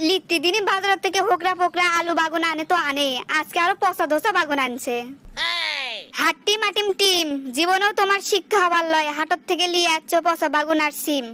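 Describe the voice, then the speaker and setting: A woman's voice: very high voice, a little fast, sharp tone. A woman voicing a feisty, long-suffering village wife in a comic cartoon, complaining in sing-song rhymes with a northern Bangladeshi accent. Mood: indignant nagging. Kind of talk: comic dialect cartoon dialogue.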